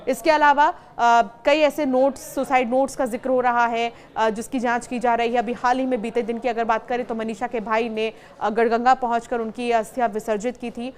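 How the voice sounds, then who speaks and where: A woman's voice: fairly high pitch, flat delivery, normal speed, hardly any pauses. A woman news presenter reporting in an emphatic, explanatory way, posing questions as she goes. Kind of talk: news reporting to camera, semi-scripted explainer.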